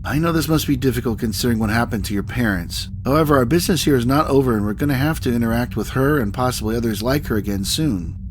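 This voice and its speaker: A calm starship captain acted by a man in a sci-fi radio play. He speaks at a medium pitch with measured authority, and there is clear ambient noise behind him. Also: lightly acted. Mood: firm, reassuring. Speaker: audio drama actor. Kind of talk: scripted sci-fi audio drama.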